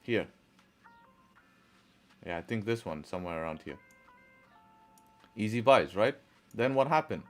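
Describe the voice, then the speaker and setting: Male streamer, mixed partly Arabic-sounding accent, low voice, drills points with rhetorical questions, faint music bed. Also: expressive, unhurried, long pauses. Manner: rhetorical, repeats questions and answers to drive a point. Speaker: trading livestream host. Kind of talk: live-stream chat and chart commentary.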